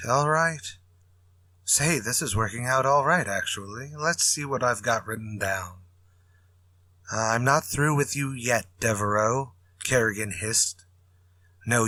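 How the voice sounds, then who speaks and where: An actor playing a nervous, wheedling crime novelist in a retro radio drama, with an agitated, emphatic delivery. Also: male voice, mid-range voice, bright, forceful tone. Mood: brisk, musing.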